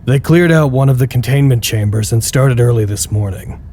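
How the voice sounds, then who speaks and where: An actor playing an anxious, stubborn research staffer in an audio drama, speaking in a casual, wry, self-deprecating way. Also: male voice, mid-range voice, moderate pace, warm tone, slight background noise, natural voice. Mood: dry narration. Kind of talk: naturalistic audio drama acting.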